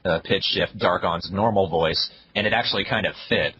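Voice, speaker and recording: Deep-voiced young man, dry and blunt. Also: normal speed, sharp tone, husky, muffled recording. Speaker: podcast co-host. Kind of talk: casual podcast chat.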